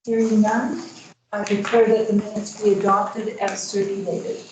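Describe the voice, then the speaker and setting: A woman chairing a board meeting, running formal procedure in a deliberate way with frequent hesitations. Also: mid-range voice, little pitch movement, a little mellow, slight background noise. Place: school board meeting room. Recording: distant mic in an echoey meeting room.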